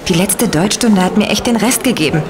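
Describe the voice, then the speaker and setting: A woman acting a weary teenage high school girl in an audio drama. Her voice is medium in pitch and casually chatty, with clear ambient noise behind it. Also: animated, brisk, natural voice.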